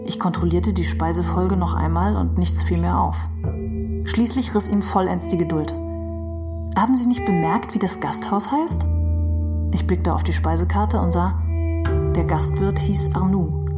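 A woman's voice: lowish voice, unhurried, pauses often, music in the background, natural voice. A woman giving a scripted literary reading of a personal recollection for a radio feature. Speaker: reader of a literary memoir.

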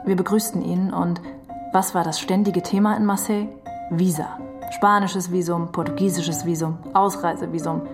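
A woman's voice: lowish voice, steady tone, normal speed, music in the background. A woman giving a scripted literary reading of memoir and novel passages. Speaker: reader of literary quotations.

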